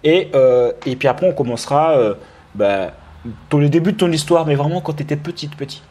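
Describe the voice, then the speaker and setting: A man in his thirties hosting a live interview over a video call, chatty and relaxed, with many fillers and restarts. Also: a little high, fairly quick. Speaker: interview host. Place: indoor room with lamp and wall art. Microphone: webcam on video call.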